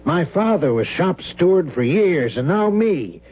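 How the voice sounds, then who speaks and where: A man's voice: fairly high pitch, sing-song, measured pace. An actor playing a determined, principled mechanic and family man in a radio drama, plain-spoken and firm. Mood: resolute, worried. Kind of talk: vintage radio drama acting. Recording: dull old radio recording with hum.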